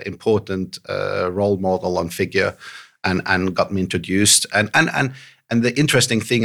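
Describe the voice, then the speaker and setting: A man's voice: deep voice, gravelly, sharp tone. A middle-aged man being interviewed, talking hesitantly with many restarts and fillers and laughing often. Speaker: podcast guest. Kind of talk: conversational interview.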